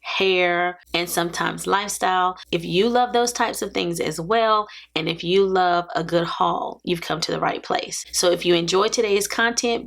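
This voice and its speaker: A woman in her forties, hoarse from allergies, chatting casually as a beauty vlogger. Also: fairly low pitch, fairly bright tone. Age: 40s. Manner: chatty, laughs often. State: raspy from allergies. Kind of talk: casual haul vlog.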